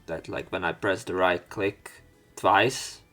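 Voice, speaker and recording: A man's voice: low-pitched, steady tone, slow pace, pushed and bright, soft background music. A man reading visual novel text aloud and breaking off for casual, sweary let's play commentary. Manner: casual, sweary interjections between read lines.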